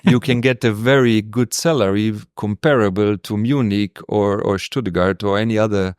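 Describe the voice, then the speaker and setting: A man from Slovenia, a podcast guest talking casually in English, pausing often with fillers and restarts. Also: on the low side, steady tone, a little mellow, smooth, no room sound, studio quality. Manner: hesitant, many fillers and restarts. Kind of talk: casual interview chat.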